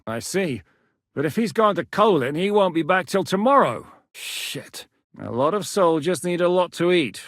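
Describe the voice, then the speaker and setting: A blunt, battle-hardened war commander from a video game, handing out orders in a voice pitched a little above the usual for a man. He talks plainly and commandingly and swears without a second thought. The studio sound is dry and close, with no room echo. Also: fairly bright tone. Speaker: military commander. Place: studio booth.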